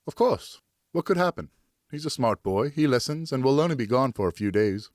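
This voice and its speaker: A man's voice: medium pitch, expressive, brisk, darkish tone, no room sound, studio quality, voice pushed up, close to an everyday voice. A man playing an indulgent father, an eighteenth-century French nobleman, in a hearty and fond manner. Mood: excited, reassuring.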